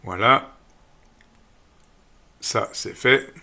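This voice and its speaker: Calm, low-voiced man explaining an app. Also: extremely slow, vocal fry. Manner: calm step-by-step explaining with frequent fillers. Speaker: radio equipment channel host. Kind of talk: screen-recorded app tutorial voice-over.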